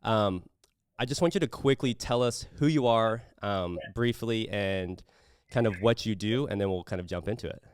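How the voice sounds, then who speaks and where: A man hosting a podcast, talking in a relaxed, rambling way with many fillers and restarts. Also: on the low side, normal speed, clear, resonant tone, no echo, studio quality. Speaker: podcast host. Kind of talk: casual podcast interview.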